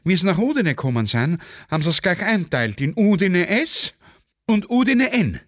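A man playing a brisk, boastful Austrian general in a satirical radio play, talking in an animated colloquial way. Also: sits high, dry recording, studio quality, voice pushed up. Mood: smugly explaining.

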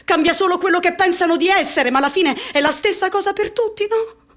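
An actress in a radio drama playing a lonely, dreamy young woman who talks softly and slowly, musing to herself. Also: very high voice. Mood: bitter, taunting. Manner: soft, hushed, slow and musing.

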